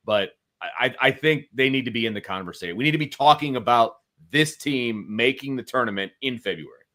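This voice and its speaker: A man with a medium-pitched, bright and forceful voice talks sports as a co-host. He is casual and colloquial, stating opinions emphatically and repeating key phrases to drive them home. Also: animated, fairly quick. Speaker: sports show co-host and analyst.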